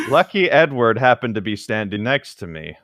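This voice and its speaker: A man's voice: medium pitch, huge pitch swings, measured pace, fairly bright tone, dry recording. Man in casual comic banter, close dry sound. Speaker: podcast co-host reading a novel aloud with commentary. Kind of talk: casual comedic read-along banter.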